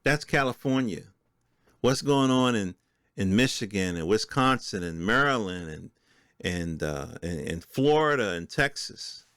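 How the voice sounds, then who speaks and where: A man's voice: fairly low pitch, expressive, measured pace, fairly bright tone, dry recording, studio quality. An American man hosting a podcast, commenting in an informal, opinionated, conversational way and speaking directly to his listeners. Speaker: podcast host. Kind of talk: casual solo commentary, reading and reacting to news articles.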